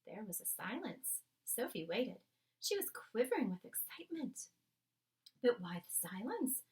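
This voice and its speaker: A woman narrates a children's story in a fairly high voice. Her delivery is very animated, with dramatic, measured phrasing that brings out each line. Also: rough recording. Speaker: read-aloud narrator.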